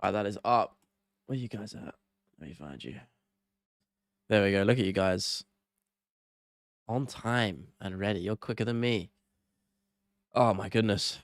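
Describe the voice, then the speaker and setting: A young man with a cold hosts a relaxed live stream, his nasal, gravelly voice sitting fairly low. He chats loosely with viewers, apologizing and thinking out loud. The sound is dry and close, with no room around it. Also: measured pace, long pauses, no echo. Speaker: MMA live-stream host. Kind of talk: casual live stream chat, reading out viewer comments.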